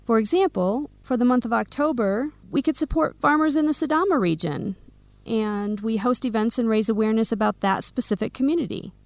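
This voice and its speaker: Woman playing enthusiastic young friend, raised pitch, clear phrasing, dry radio drama studio sound. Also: fairly quick, darkish tone, no echo, close to an everyday voice. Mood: excited. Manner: clearly phrased scripted explanations. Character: Samira, Helen's enthusiastic American best friend, aspiring business owner. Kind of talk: English-learning radio drama acting.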